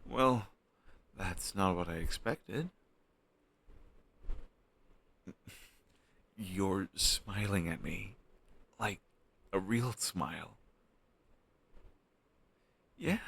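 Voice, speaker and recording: A young man's deep, creaky voice acting out a lovestruck best friend in a romantic audio roleplay. He takes his time with each phrase, halting and tender, with a shy, teasing edge and some rasp in the tone. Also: animated, fairly bright tone, heavily acted. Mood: surprised, hopeful.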